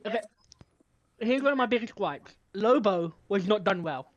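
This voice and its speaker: A man co-hosting a casual podcast chat, measured and critical, often speaking without contractions. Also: high-pitched, animated, muffled recording. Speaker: podcast co-host.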